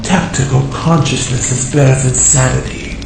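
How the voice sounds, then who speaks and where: A man's voice: mid-range voice, fairly even intonation, moderate pace, creaky, noisy background, natural voice. A man playing a cold, misanthropic, insane engineered superhuman who speaks in solemn declarations in a comic drama. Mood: cold, menacing. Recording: echoing voice over music.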